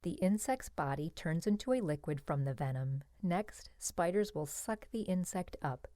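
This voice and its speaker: A woman narrates an educational children's book in a fairly low voice. Her read-aloud phrasing is simple and clear, and the sound is very dry, with no room around it. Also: animated, normal speed, warm tone, no echo.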